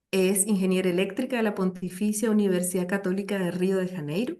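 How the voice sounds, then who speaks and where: A woman hosts an online session over a video call. Her voice sits at a medium pitch, and she speaks in the formal style of someone introducing and moderating a speaker. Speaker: session host.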